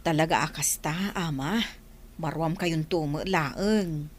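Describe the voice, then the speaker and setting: A woman's voice: low-pitched, takes it slowly. A woman playing a kindly older village aunt who carries messages in a dramatised story.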